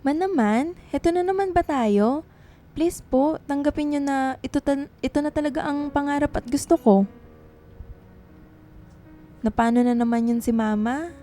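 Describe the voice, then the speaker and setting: A woman's voice: sits high, normal speed, long pauses, mellow, lightly acted. A woman playing an earnest, tender young woman writer in love, in a radio drama. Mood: pleading, then troubled.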